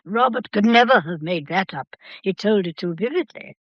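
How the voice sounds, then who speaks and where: Actress as imperious old English matriarch, muffled recording. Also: female voice, mid-range voice, expressive, dry recording, close to an everyday voice. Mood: stern, challenging. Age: older. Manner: haughty, deliberate, formal. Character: imperious, sharp old Victorian matriarch, formidable grandmother. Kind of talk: radio drama acting.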